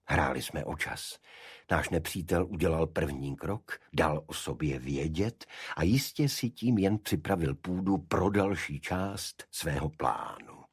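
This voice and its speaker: A man reading a detective story as a scripted audiobook narrator, in a measured literary manner. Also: rumbling low, animated, husky, dry recording, clean studio sound.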